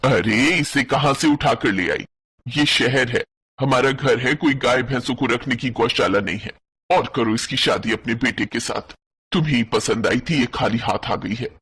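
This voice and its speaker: Man as a husky, scolding mother-in-law. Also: medium pitch, moderate pace, fairly bright tone. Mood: furious, scornful. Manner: scolding, complaining. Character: scornful middle-aged mother-in-law, angry and haughty.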